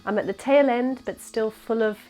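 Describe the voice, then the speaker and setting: A young woman teaching a gentle yoga class, her voice congested and nasal from a cold, guiding slowly and calmly. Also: on the high side, rough recording. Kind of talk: guided yoga class instruction.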